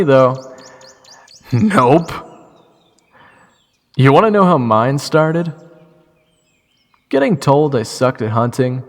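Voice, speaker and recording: Guy acting as cocky young scout in an audio drama, gravelly edge, swings wildly in pitch, sarcastic. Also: full character voice. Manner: sarcastic, whiny, excitable. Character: cocky, grumbling young male scout, would-be hero. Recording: long reverb, music under the voice.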